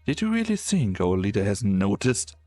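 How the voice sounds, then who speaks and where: A man's voice: on the low side, sing-song, moderate pace, mellow, dry recording. A man in a fan dub of a game, playing a contemptuous rebel enforcer with a threatening, accusing delivery. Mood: menacing. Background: music.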